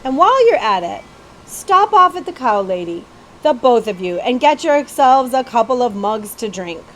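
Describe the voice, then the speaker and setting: A woman's voice: fairly high pitch, big pitch swings, moderate pace, a little hiss or hum. A woman voicing a kindly, jovial coachman with chatty, folksy talk in a children's storybook. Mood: generous, hearty. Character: hearty, kindly man, coach-driving potato seller.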